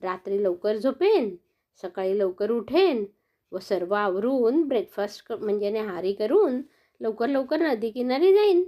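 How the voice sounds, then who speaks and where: A woman's voice: fairly high pitch, lively intonation, a little slow, mellow. A woman voicing a sly young male fox who eagerly plans aloud in a storybook tale.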